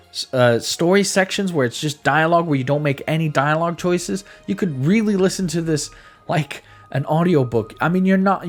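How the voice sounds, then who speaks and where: A man giving a casual, opinionated game review in a conversational way, repeating words for emphasis. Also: a little high. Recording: background music under voice. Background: music.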